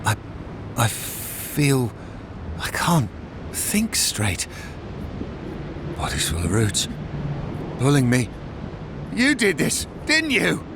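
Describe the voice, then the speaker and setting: An actor playing a menacing, paranoid soldier driven mad, ranting in sing-song repetition for a video game. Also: male voice, mid-range voice, sing-song, drawn-out words, pauses often, background noise. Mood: dazed, accusing. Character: root-maddened soldier, menacing, raving, paranoid. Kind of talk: dramatic video game acting.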